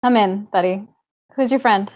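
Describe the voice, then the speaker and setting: A woman performs a mother in her forties, loving, protective and firm. She reads her part from a script at a table read. The recording is dull and lo-fi, with a medium-pitched voice. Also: normal speed, darkish tone, natural voice. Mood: wry, welcoming.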